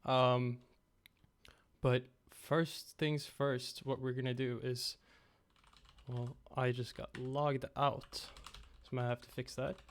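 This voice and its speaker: A young man hosting a casual game-creation livestream from home on a headset, slightly raspy after being ill. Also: medium pitch, slow. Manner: relaxed, rambling, talks to chat.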